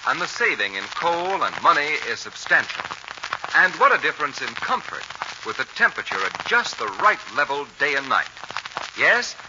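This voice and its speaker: A man reading a scripted 1940s radio advertisement as an announcer, with an earnest, measured salesman delivery. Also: a little high. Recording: muffled, noisy 1940s radio recording.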